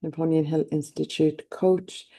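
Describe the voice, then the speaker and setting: A woman's voice: deep voice, level tone, unhurried, warm tone. A middle-aged woman with an Irish accent, giving an enthusiastic motivational talk as a personal development coach. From Ireland. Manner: enthusiastic, upbeat.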